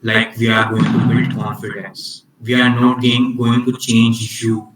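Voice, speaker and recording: A man presenting an online club orientation on communication skills, speaking haltingly with many restarts and fillers. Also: mid-range voice, level tone, a bit bright, echoey room, far from the mic, poor audio. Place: video call.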